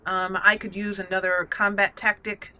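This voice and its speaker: A woman's voice: mid-range voice, level tone, moderate pace, bright, forceful tone. A woman hosting a roleplay let's play of a fantasy game, thinking aloud as she muses over her choices. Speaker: gaming channel host and player.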